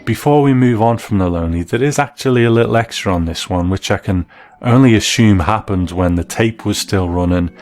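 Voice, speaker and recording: Man, deep voice, host and reviewer, scripted documentary narration, faint music bed. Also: fairly quick, darkish tone.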